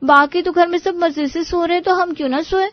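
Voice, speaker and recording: A woman's voice: very high voice, fairly even intonation, fairly quick, no echo, lo-fi sound, pitched above the performer's own voice. A woman voicing a scheming, complaining young daughter-in-law in a cartoon story. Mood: relieved. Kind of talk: acted dialogue in a cartoon story.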